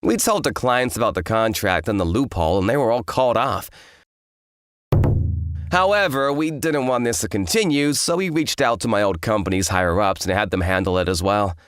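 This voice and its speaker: A man playing an earnest, easily flustered young office worker who narrates his romance in a comic manga dub, with an excitable delivery. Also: lowish voice, normal speed, clean tone, no room sound, clean studio sound, close to an everyday voice. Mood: narrating. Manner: excitable, expressive.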